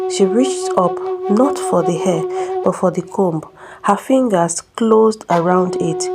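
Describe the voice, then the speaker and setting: Slow, dramatic woman storyteller over loud music. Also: medium pitch. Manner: deliberate, suspenseful phrasing. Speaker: storyteller narrator. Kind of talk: dramatic scripted folktale narration. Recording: prominent music under the voice.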